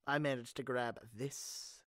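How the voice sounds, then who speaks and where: A man's voice: medium pitch, flat delivery, measured pace, a bit bright, no echo, studio quality, close to an everyday voice. A man playing a caring but cocky young superhero in an audio roleplay, speaking in an earnest, casual, teasing way. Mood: soft, caring. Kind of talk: amateur ASMR audio roleplay.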